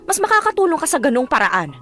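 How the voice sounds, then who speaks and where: An actress playing a fed-up, resentful married sister-in-law with a Filipino accent, scolding and sarcastic in a radio drama. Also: female voice, high-pitched, sing-song, fast talker, fairly bright tone, soft background music. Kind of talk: Tagalog radio drama acting.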